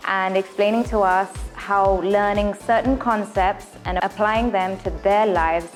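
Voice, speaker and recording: Woman host introducing guests. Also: medium pitch. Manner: welcoming, scripted introduction.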